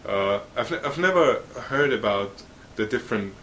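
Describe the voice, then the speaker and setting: A man's voice: lowish voice, lively intonation, normal speed, fairly bright tone, a little hiss or hum, rough recording. A man whose first language is German, a guest speaking English in hesitant casual chat with many restarts.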